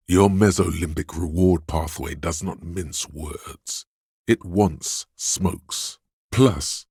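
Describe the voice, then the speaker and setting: A man voicing a seductive, hedonistic inner voice of craving in a video game, gravelly and husky, coaxing slyly and savouring his words. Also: a bass voice, no room sound, clean studio sound, heavily acted. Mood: greedy, relishing. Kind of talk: dramatic video game narration.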